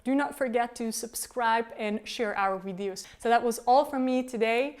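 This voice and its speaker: A woman's voice: fairly high pitch, normal speed. A woman hosting an interview, asking questions politely and closing the video with a formal sign-off. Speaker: interviewer and channel host.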